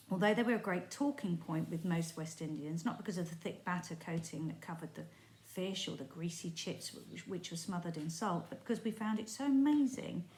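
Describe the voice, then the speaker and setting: A woman teacher reads a book aloud in an expressive, even storytelling manner. Her voice sits a little low, and the recording carries a noticeable room echo. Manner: steady, expressive storytelling read. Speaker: primary school teacher. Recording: roomy echo.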